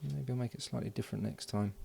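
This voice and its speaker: A man talking himself through a hands-on electronics project, halting and thinking aloud with frequent restarts. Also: fairly low pitch, creaky, dark tone, a little hiss or hum. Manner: halting, muttering, many restarts and fillers. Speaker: electronics hobbyist.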